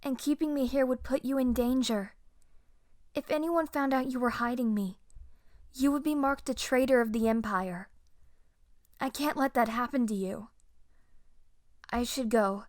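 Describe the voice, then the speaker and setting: A young woman playing a gentle, self-doubting Padawan on the run in an audio roleplay, speaking softly and hesitantly with halting pauses. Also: high voice. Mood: worried, resigned. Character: timid, grieving young female Jedi Padawan fugitive, self-doubting and gentle. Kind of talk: audio roleplay acting, intimate ASMR-style monologue.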